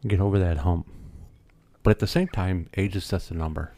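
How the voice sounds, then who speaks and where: A man in his fifties with a low, mellow voice co-hosts a podcast, chatting casually and telling stories in an unhurried, matter-of-fact way. The sound is dry and close, with no room echo. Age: 50s. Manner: relaxed, storytelling, matter-of-fact. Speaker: podcast co-host. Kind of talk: casual chat.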